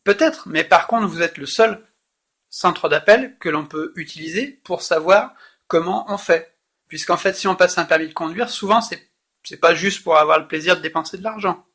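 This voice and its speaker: A man's voice: high voice, moderate pace, fairly bright tone. A man calling to complain, exasperated and insistent, pressing with pointed questions in a recorded phone call. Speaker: caller, customer complaining.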